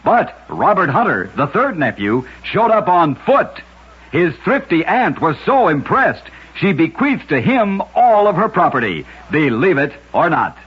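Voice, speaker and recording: An American man with a very husky voice telling oddities as scripted old-time radio narration, with dramatic punchlines. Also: on the high side, sing-song, measured pace. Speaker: radio storyteller, host. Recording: muffled old radio recording.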